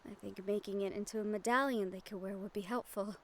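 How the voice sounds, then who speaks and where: A woman playing a gentle, earnest young magic-wielder in an audio roleplay, soft-spoken and measured with thoughtful pauses. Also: mid-range voice, steady tone, natural voice. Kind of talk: audio roleplay acting, addressed to the listener. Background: ambient sound effects.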